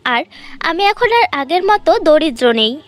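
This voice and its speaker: A woman's voice: very high voice, big pitch swings, a little slow, heavily acted. A woman voicing a naive poor young girl in a cartoon fairy tale, earnest and girlish. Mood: delighted.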